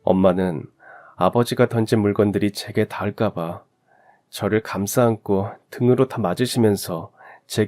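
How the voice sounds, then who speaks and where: A man's voice: fairly low pitch, darkish tone. A man reading a scripted first-person story in the style of a radio drama. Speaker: narrator of a story channel.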